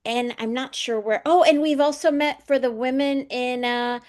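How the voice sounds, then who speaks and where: A woman's voice: sits high, fairly even intonation, moderate pace, a little mellow. A woman hosting an interview show over a video call, upbeat and welcoming. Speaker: host.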